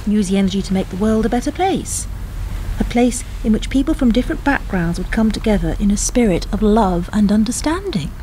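A woman acts an earnest idealist in a radio play, always questioning. Her voice is of medium pitch, with clear ambient noise around it. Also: lively intonation, fairly quick, warm tone, natural voice, poor audio. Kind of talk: radio drama acting, dialogue.

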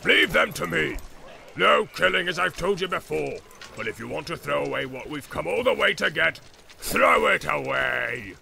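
A man voicing a brutal, jeering orc captain with a gravelly growl, barking and taunting in a fantasy audiobook. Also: a little high, very animated, normal speed, sharp tone, pitched above the performer's own voice, full character voice. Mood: barking, furious.

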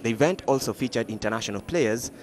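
A male news reporter reads a business feature in a measured, formal way. His voice sits at an ordinary, middle pitch. The read is clean and steady. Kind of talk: scripted news narration.

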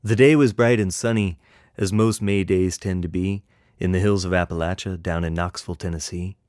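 A man reading a picture book in rhyming verse as its author, with expressive, rhythmic delivery. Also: sits low, normal speed, no echo, studio quality.

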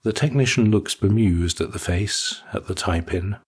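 A man narrating a scripted literary short story in a husky voice. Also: fairly low pitch, moderate pace, darkish tone.

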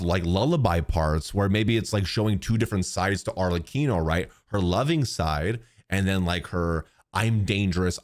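A young man hosting a casual game trailer reaction, excitable and slangy, on a close microphone. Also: fairly low pitch. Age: young adult. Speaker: YouTube reaction host and streamer. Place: small home streaming room. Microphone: close boom-arm microphone.

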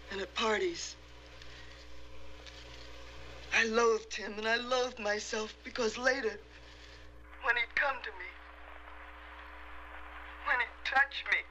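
A haunted, wronged woman in a screen melodrama. Her voice is very bright and sharp at a middling pitch, with intense emotion in a confessional delivery, over a faint hum. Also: dull audio. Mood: bitter, wounded. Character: distraught woman claiming to be a murdered wife reborn. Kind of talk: dramatic film or television acting.

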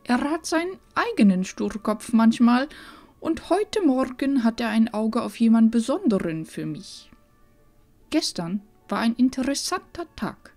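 A woman playing a wise old village grandmother in a fantasy audio drama, calm, measured and gently probing. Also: a little high, soft background music, exaggerated voice. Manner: measured, knowing.

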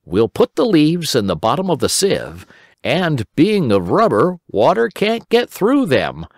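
A man voicing a kindly old rabbit gentleman who speaks gently and helpfully. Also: a little high, huge pitch swings, a little slow, dry recording, studio quality, pitched above the performer's own voice, full character voice. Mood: eager.

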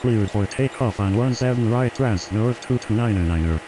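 Man on radio, loud background noise. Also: lowish voice, moderate pace. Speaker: air traffic radio voice in a game. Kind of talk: clipped scripted aviation radio phraseology.

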